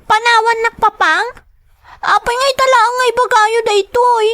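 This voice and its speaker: High-voiced, childlike young daughter. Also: female voice, steady tone, normal speed, a bit bright, no room sound. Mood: surprised, hurt. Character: young schoolgirl daughter, affectionate and easily upset. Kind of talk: radio drama acting.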